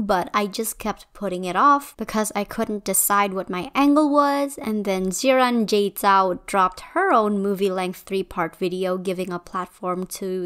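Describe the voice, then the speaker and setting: A woman's voice: mid-range voice, a bit bright, smooth. A young woman from Indonesia reading a scripted commentary voice-over in a conversational, opinionated and slightly wry way. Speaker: video essayist.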